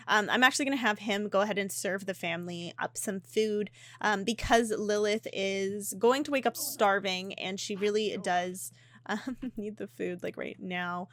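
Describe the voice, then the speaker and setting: A woman casually commenting on her gameplay, chatty and animated, with frequent ums and laughs, her voice slightly hoarse. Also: mid-range voice, dry recording. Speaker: gaming YouTuber.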